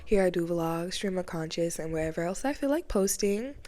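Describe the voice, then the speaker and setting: A woman's voice: lowish voice, animated, fairly quick, warm tone, dry recording. A woman talking casually to camera in a sit-down vlog, chatty and rambling, a bit sniffly from a cold. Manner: chatty, rambling, frequent fillers and slang. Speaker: vlogger. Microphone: camera mic, sit-down setup.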